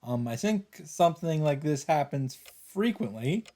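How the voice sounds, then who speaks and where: A man's voice: fairly high pitch, lively intonation, a little slow, warm tone. A man hosting a let's play, reading visual novel narration aloud with casual asides. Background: game music.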